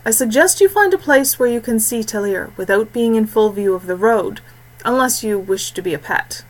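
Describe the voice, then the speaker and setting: Lady reading as a calm careful guy guiding travelers, formal wording, dry humor, fantasy audiobook. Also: fairly high pitch, rough recording. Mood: dryly amused. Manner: measured, formal phrasing. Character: calm, dryly amused, cautious male guide and protector.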